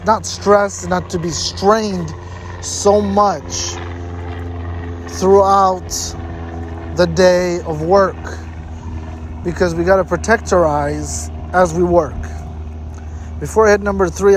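A man's voice: high voice, slight background noise. A man hosting a motivational health-tips talk, speaking emphatically and repeating points for stress. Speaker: host giving health tips. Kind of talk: motivational advice talk to camera.

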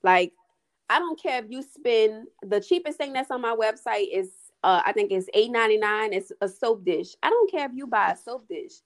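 A woman's voice: a little high, expressive, moderate pace. A woman business owner talking in a casual chat, earnest and emphatic, often asking if you know what she means.

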